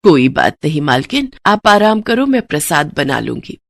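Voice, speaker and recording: Woman as humble elderly mother, lowish voice. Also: sing-song, moderate pace, vocal fry, dry recording, clean studio sound, exaggerated voice. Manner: deferential, gentle, reassuring. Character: humble, devout, hardworking sixty-year-old mother and labourer.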